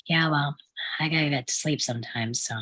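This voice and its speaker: A woman's voice: sits low, a little fast, a bit bright, dry recording. A woman playing a blunt, exasperated young working woman, sarcastic but caring, in a sitcom table read. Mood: tired, sarcastic.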